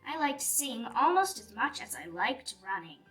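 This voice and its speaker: A woman reading a picture book aloud for young children in an animated, deliberate storytelling manner. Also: a little high. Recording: distant mic with music behind. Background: music.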